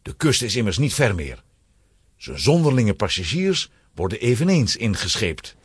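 A man's voice: on the low side, sing-song, moderate pace, slightly rough, no echo, studio quality. A man narrating a scripted children's story with dramatic suspense.

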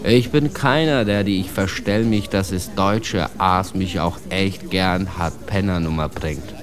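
An actor playing a proud immigrant man ranting with bitter contempt, in a declamatory slang tirade for a radio drama. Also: low voice.

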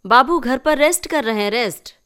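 A woman's voice: a little high, lively intonation, moderate pace, bright, forceful tone, clear, resonant tone, voice pushed up. A woman voicing a self-important young village man in a story, emphatic and boastful, sprinkling in English words.

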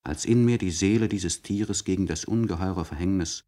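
An actor playing an anguished, articulate nobleman in a literary radio play monologue, speaking in a solemn, searching manner with deliberate phrasing and elevated diction. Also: low-pitched, dark tone. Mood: impassioned. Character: anguished, articulate nobleman confiding by letter to a friend.

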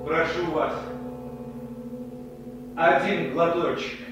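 A man's voice: a little high, fairly even intonation, extremely slow. An actor playing a desperate dying man pleading, in a Soviet radio drama. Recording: very echoey, distant, music underneath.

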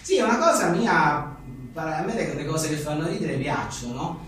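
A man's voice: on the high side, animated, moderate pace, a bit bright. A man being interviewed as a writer, talking casually in a rambling, self-deprecating and joking way. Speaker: journalist and author being interviewed. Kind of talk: casual live interview about a book. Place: echoey indoor venue at a live book talk. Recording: very echoey room, distant mic, faint noise.

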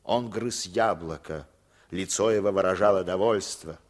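A man's voice: low voice, moderate pace, lightly acted. An actor playing a weary former German legionnaire who tells his story reflectively and bitterly in a 1950s radio drama.

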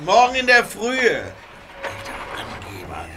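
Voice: mid-range voice, wildly swooping pitch, a little slow, heavy background noise. A performer voicing an eager, cheeky young male tank engine in a children's audio drama.

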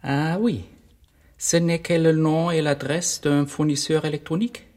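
Actor as polite young bank clerk, higher-pitched. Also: male voice, steady tone, moderate pace, a little mellow, close to an everyday voice. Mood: polite, helpful. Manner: polite, deferential. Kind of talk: radio play acting in French.

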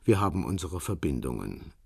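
Russian fixer, middle-aged ex-soldier, very deep, husky, curt, guarded, businesslike, radio drama. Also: male voice, big pitch swings, fairly quick, dark tone, dry recording, clean studio sound, full character voice. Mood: cool, menacing.

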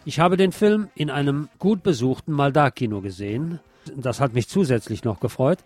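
A man's voice: mid-range voice, expressive, moderate pace, a little mellow, no echo. A man delivering a scripted film review as a critic recommending a movie to listeners.

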